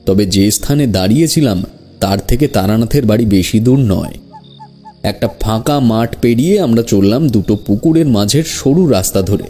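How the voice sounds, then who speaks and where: A man's voice: lowish voice, dark tone. A man narrating a scripted horror story in the first person.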